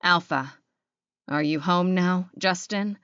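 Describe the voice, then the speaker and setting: A woman's voice: lowish voice, slow, a bit bright, clear, resonant tone, no echo, muffled recording. A woman voicing a commanding male werewolf pack leader in an audiobook, curt and authoritative. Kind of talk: audiobook character acting.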